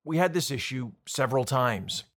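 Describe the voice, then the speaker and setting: A man's voice: medium pitch, lively intonation, normal speed, fairly bright tone. A man hosting a podcast, narrating a scripted documentary story in a conversational way.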